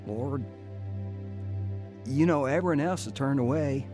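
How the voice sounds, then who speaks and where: An actor playing a tough career criminal with rough, slangy street talk, in a radio drama. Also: male voice, mid-range voice, measured pace, music in the background, lightly acted. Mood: humbled, earnest. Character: tough, broke career criminal, jailed, turning repentant.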